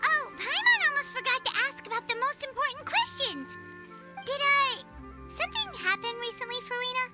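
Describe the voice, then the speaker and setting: A woman voicing a tiny, cheeky, excitable childlike fairy companion in a video game, squeaky and bubbly. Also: normal speed, over loud music. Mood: curious, hesitant. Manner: refers to self in third person, bubbly. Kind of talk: English video game voice acting.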